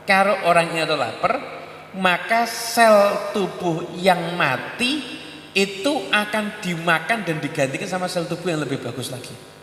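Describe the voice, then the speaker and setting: A man's voice: sits high, fairly bright tone. A man in his thirties with a Javanese accent, preaching a jokey sermon and playing out questions from the audience. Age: 30s. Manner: jokey, mixes languages, acts out audience questions. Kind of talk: Islamic sermon. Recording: echoey hall recording with hum.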